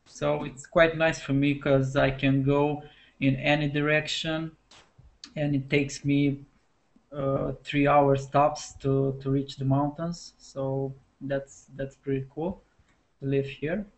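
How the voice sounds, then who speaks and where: Gravelly monotone Romanian man. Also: mid-range voice, slow pace. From Transylvania, Romania. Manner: relaxed, hesitant, uh-filled. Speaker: landscape photographer. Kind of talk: casual self-introduction.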